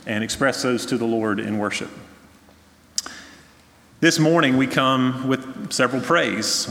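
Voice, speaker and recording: Man, pastor, earnest and measured, mid-pitched, long church reverb. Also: a bit bright. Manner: earnest, measured, with frequent "uh" fillers. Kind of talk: sermon with pastoral prayer concerns. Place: church sanctuary.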